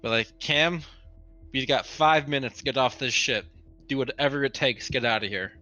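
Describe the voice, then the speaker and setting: A man's voice: medium pitch, normal speed, bright, forceful tone. A man playing a tabletop roleplaying game, talking casually and speaking for his character. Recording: dull, lo-fi voice audio.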